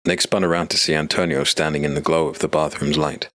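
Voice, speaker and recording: A man narrating a scripted dark fantasy story as an audiobook narrator. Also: very deep voice, flat delivery, fast talker, dry recording.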